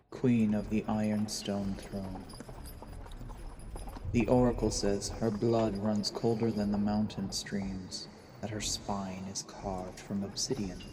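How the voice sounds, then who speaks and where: A cold, calculating assassin in a lord's disguise , played by a man, narrates a fantasy audio drama with grave deliberation. His voice is low and dark, moving very slowly over faint ambient sound. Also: a little hiss or hum. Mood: brooding, menacing. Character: coldly calculating assassin posing as a borderland lord.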